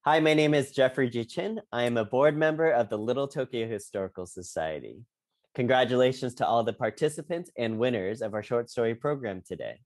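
A man in his thirties presents a prepared announcement as a board member. He speaks at a medium pitch into an earphone mic on a video call. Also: brisk, a little mellow. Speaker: historical society board member. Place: indoors, video call with virtual background. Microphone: wired earphone mic on a video call.

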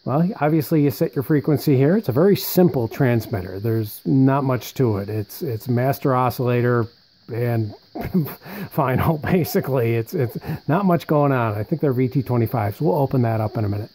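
A man's voice: medium pitch, moderate pace, warm tone, rough recording. A man giving a casual, unscripted show-and-tell as presenter, rambling conversationally and thinking aloud. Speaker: presenter showing vintage military radio equipment.

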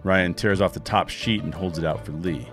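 A man in his forties reading screenplay action lines in a measured, wry way into a studio microphone in a home podcast studio. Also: low voice, over music. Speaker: host and narrator. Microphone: studio microphone with headphones.

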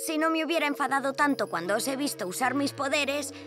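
A high-voiced young girl superhero, with a Castilian Spanish accent. She is plucky and confident, swinging widely in pitch, in a cartoon with music underneath. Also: animated, fast talker. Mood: regretful. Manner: confident, heartfelt, spirited. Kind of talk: children's cartoon dub.

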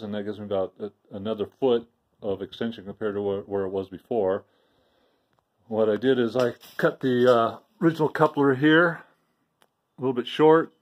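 A man giving a casual, unscripted walkthrough of a home garage project, plain and unhurried with frequent restarts and fillers. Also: mid-range voice, muffled recording. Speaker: DIY video maker. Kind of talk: casual show-and-tell walkthrough. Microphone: handheld phone camera, speaker off screen.

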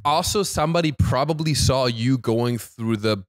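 A man in his thirties with a German accent, hosting a podcast with playful, teasing banter into a close boom mic. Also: normal speed, dry recording. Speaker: podcast host. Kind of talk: casual chat.

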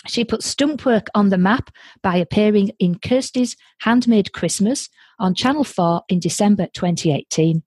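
A woman's voice: fairly low pitch, darkish tone, breathy edge, no echo. Chatty Yorkshire woman, close dry sound. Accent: Yorkshire English. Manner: chatty, exclamatory. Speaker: podcast host. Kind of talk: light-hearted interview chat.

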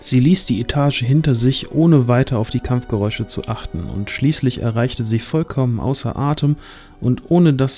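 A man's voice: mid-range voice, a little mellow. A man narrating a scripted fantasy audio drama as the storyteller.

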